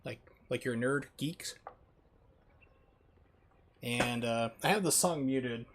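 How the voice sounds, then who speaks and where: A man's voice: mid-range voice, slow pace, background noise. A man casually commentating on his live gameplay, with playful, rambling asides. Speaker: video game streamer. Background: video game sounds.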